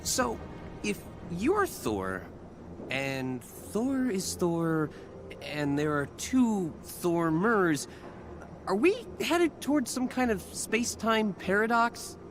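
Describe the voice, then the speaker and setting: A woman playing an inquisitive, excitable young superhero in a video game, with an incredulous, questioning manner. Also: medium pitch, slow, noisy background. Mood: baffled, curious. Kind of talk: animated video game dialogue.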